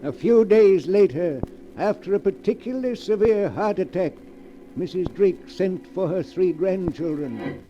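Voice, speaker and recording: An actor playing an ominous mystery narrator, telling the tale with dramatic deliberation in 1940s radio style. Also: male voice, high-pitched, expressive, measured pace. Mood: ominous, suspenseful. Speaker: narrator and host. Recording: muffled vintage radio, music behind.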